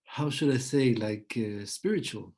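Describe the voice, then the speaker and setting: Husky, creaky man searching for words. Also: medium pitch, a little slow, darkish tone. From Peru-born, lives in Switzerland. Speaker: podcast host. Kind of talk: casual spiritual conversation.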